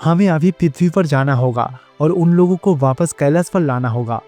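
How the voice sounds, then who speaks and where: A man's voice: fairly high pitch, moderate pace, dark tone, no room sound, soft background music, close to an everyday voice. A man playing a devoted, earnest bull attendant of the gods in a storybook tale. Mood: lonely, determined. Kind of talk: storybook character dialogue.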